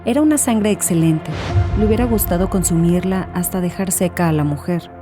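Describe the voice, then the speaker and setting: A woman's voice: on the low side, brisk. A woman narrator reading scripted, dramatic fiction for an audiobook. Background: background music.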